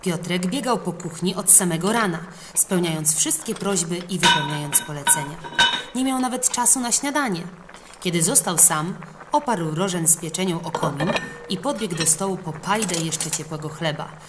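A woman reading a children's legend as expressive storybook narration. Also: lowish voice, normal speed, very noisy. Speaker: audiobook reader.

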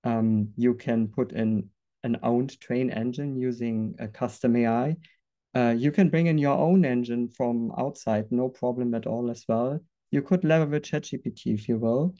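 A man presenting a software product in a webinar, earnest and a little halting, with fillers and restarts. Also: mid-range voice, highs cut off.

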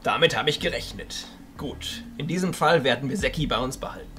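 A man's voice: a little fast, faint music behind, lightly acted. A man voicing a commanding, bearded old wizard archchancellor in a fantasy audio drama, speaking in an emphatic, declamatory way. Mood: earnest. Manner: declamatory, emphatic, swinging between hushed and booming.

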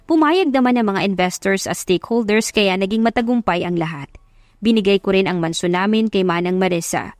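A woman's voice: mid-range voice, no echo. Dry-sounding woman narrating a dramatic story. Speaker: audiobook narrator. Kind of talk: scripted first-person dramatic story narration.

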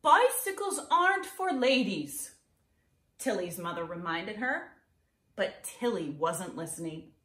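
Middle-aged lady doing a kids story read-aloud, takes it real slow, expressive and deliberate. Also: medium pitch, roomy sound. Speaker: children's read-aloud presenter. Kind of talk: expressive picture-book read-aloud for children.

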